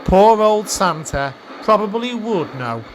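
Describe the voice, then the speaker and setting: A man's voice: high voice, expressive, takes it slowly, slight background noise, rough recording. A man reading scripted storytelling narration for a Christmas radio comedy. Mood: wry storytelling.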